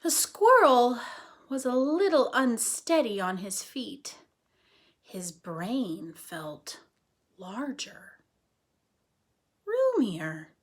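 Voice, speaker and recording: A woman reading a story aloud to children like a teacher, expressively, pausing to explain things simply and check in with her listeners. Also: fairly high pitch, big pitch swings. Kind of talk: animated read-aloud storytelling with explanatory asides.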